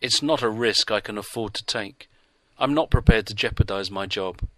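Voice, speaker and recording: A man's voice: on the low side, normal speed, fairly bright tone, no room sound, natural voice. A man reading the part of a blunt, insistent male hostel worker who presses with clipped questions. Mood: firm.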